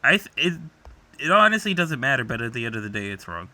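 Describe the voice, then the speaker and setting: A man's voice: medium pitch, very animated, quick pace, bright, forceful tone. A young adult man co-hosting a podcast in casual, argumentative banter, swearing freely as he talks. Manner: profane, argumentative. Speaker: podcast co-host.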